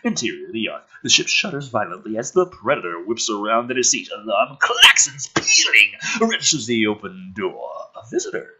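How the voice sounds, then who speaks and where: A man performs a dramatic script reading with sarcastic commentary. His lowish voice is husky yet forceful, and his emphasis is theatrical. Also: wildly swooping pitch, normal speed, rough recording. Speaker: host reading a film script aloud.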